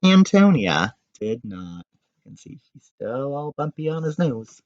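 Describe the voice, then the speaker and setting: Animated man reading aloud, roomy sound. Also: medium pitch, a little slow. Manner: animated, prompts listeners with questions. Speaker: reader of a children's picture book, teacher. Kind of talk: expressive read-aloud storytelling with asides. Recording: roomy, slightly dull sound.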